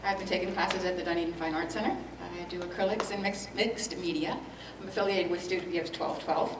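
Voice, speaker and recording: A retired woman giving a brisk, matter-of-fact introduction at a club meeting. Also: lowish voice, steady tone. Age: older. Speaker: new member introducing herself. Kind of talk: brief self-introduction. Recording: echoey room, distant mic, loud noise.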